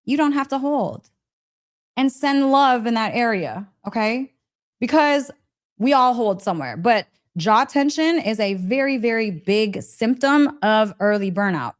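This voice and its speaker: A woman's voice: on the high side, lively intonation, a little slow. A woman giving a casual, motivational wellness talk as a live coach, conversational and emphatic, often checking in with her listeners. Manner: conversational, emphatic, frequent check-in tags, breaks into laughter.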